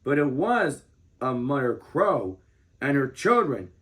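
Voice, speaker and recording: A man of thirty reading a children's book aloud as an expressive storyteller. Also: medium pitch, takes it slowly.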